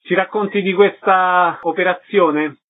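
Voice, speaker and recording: The sound is a little lo-fi, with a man speaking in a somewhat high voice. He presents on radio, announcing events and interviewing guests. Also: fairly even intonation, a little slow.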